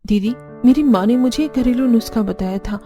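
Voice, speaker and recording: A young, put-upon daughter-in-law from a family cartoon, voiced by a woman, high in pitch and full of schemes. She complains like a conspirator over faint music. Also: a little fast, dark tone, lightly acted. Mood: scheming.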